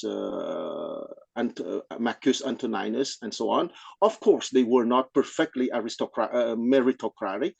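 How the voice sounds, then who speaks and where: A man who is a non-native English speaker, explaining things in an informal scholarly discussion, haltingly and often checking with "okay?". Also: medium pitch, creaky, no echo. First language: not English. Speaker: study group participant.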